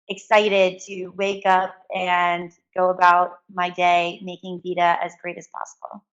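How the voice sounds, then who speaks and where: A woman's voice: mid-range voice, fairly bright tone. A woman hosting a webinar in a casual presenting style. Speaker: webinar host. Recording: dull lo-fi webinar audio.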